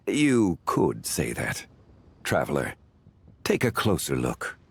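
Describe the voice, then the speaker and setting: An actor playing a calm, refined, scholarly gentleman in a video game, speaking in a formal, measured and courteous way. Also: extremely low pitch, gravelly, slightly rough, full character voice. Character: calm, refined, scholarly gentleman consultant with old-world poise.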